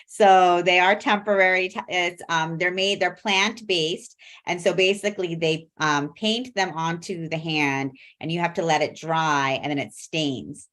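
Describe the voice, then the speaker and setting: Low-voiced woman co-hosting online. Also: fairly even intonation, measured pace, few pauses, a bit bright. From Bangladesh. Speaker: co-host. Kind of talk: casual explanatory chat. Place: video call.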